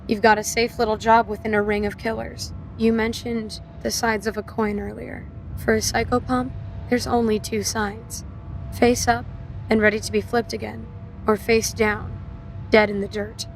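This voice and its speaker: A woman playing a world-weary, guarded death-god assassin in an audio drama, speaking in terse, cool, deliberate warnings. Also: fairly high pitch, flat delivery, vocal fry, soft background music.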